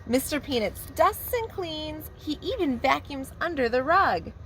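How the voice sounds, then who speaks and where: A woman preschool teacher reading a picture book aloud to young children in a warm, expressive storytelling manner. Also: high voice, measured pace, a little hiss or hum.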